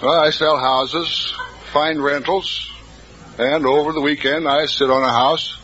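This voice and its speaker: A man's voice: fairly high pitch, fairly even intonation, a little slow, pushed and bright. A man answering as a quiz contestant in a plain, earnest, matter-of-fact way on vintage radio. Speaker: quiz contestant, real estate agent. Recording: muffled old radio broadcast.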